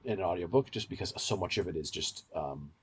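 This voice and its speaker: Low-voiced, husky man explaining in depth. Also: a little fast. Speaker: podcast co-host. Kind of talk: casual, in-depth fan commentary.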